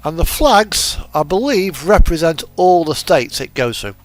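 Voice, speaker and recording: A man's voice: a little high, animated, a little slow, dry recording. A man giving casual, rambling gameplay commentary, hesitant and thinking aloud as he goes. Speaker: host of a truck simulator gaming video. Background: music from an in-game radio.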